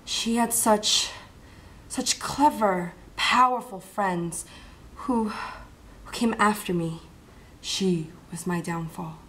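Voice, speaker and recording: A woman's voice: medium pitch, slow pace, fairly bright tone. A woman playing a scheming, power-hungry male vampire count in an amateur dramatic monologue. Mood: bitter, brooding.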